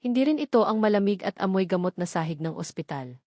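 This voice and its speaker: A woman's voice: mid-range voice, lively intonation, fast talker, darkish tone, no room sound, studio quality. A woman with a Filipino accent reading a scripted love story as a dramatic audiobook narrator.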